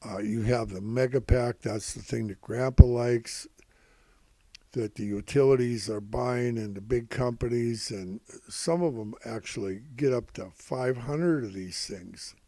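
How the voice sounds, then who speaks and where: An older man giving informal, rambling financial commentary in a halting, repetitive, folksy way, calling himself Grandpa. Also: medium pitch, mellow, dry recording. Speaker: host of stock prediction videos.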